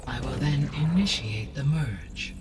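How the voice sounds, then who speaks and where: An actor playing a calm artificial intelligence in a video game, with formal, precise, emotionless speech. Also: male voice, medium pitch, a little slow, far from the mic, background noise, natural voice. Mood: analytical. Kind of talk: video game cutscene acting.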